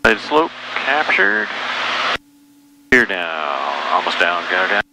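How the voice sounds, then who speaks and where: A man's voice: low voice, wildly swooping pitch, slow, gravelly. A man piloting a small plane, talking himself through the flight in terse, muttered phrases over a headset. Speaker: private pilot. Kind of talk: self-talk and radio calls while flying. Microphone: aviation headset. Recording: loud engine noise, cockpit radio audio.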